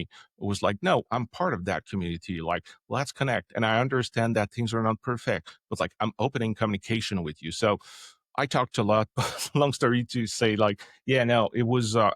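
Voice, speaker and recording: A man's voice: on the low side, no echo. A man in his forties from Quebec, a native French speaker with a Quebec French accent, telling a long career story as an interview guest with many fillers and restarts. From Quebec, Canada. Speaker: podcast guest, developer advocate. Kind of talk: casual interview answer.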